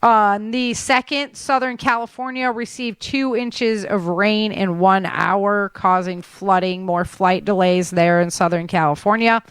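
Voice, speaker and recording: Woman, show host, smooth clear voice, dry close sound, chatty informal news rundown. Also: mid-range voice, fairly even intonation, a little slow, few pauses, no room sound. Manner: chatty, informal, addresses listeners as guys.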